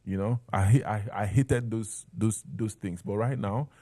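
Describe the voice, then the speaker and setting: A man's voice: on the low side, a little slow, mellow, no echo, clean studio sound. A man with a Nigerian accent talking conversationally as an interview guest, sharing personal stories.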